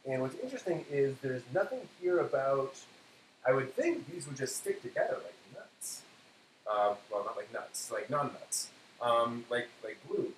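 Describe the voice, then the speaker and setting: A man's voice: mid-range voice, lively intonation, moderate pace. A man hosting a casual cooking segment, thinking aloud in a hesitant way as he goes. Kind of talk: casual cooking show chat. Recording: echoey room with faint hiss.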